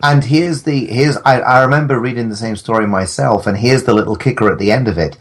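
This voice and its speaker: A man's voice: mid-range voice, a little fast. A man bantering casually on a podcast in a wry, joking and slightly rambling way.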